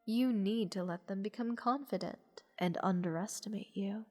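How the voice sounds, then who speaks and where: A woman playing a haughty, bored goddess in a roleplay audio drama, condescending and teasing in a languid, breathy delivery. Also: medium pitch, normal speed, dark tone, lightly acted. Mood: condescending, analytical. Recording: long reverb, music underneath. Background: orchestral music.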